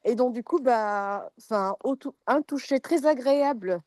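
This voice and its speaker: A woman's voice: fairly high pitch, a little slow, a little mellow, dry recording, clean studio sound. A woman sharing a hesitant, friendly customer testimonial on a video call. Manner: hesitant, many fillers and restarts, friendly.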